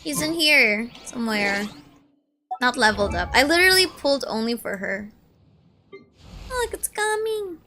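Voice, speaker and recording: A woman in her early twenties chatting casually on a gaming stream, excitable and gushing, rambling as she goes. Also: high-pitched, moderate pace, long pauses. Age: early 20s. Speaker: streamer and video essay creator. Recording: music behind the voice. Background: game music.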